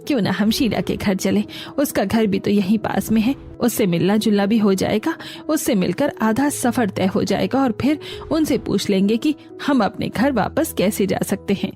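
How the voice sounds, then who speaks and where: A woman's voice: medium pitch, normal speed, warm tone, soft background music, lightly acted. A woman voicing a homely, mildly bossy middle-aged mother-in-law in a cartoon family story, matter-of-fact in manner. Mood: practical, planning.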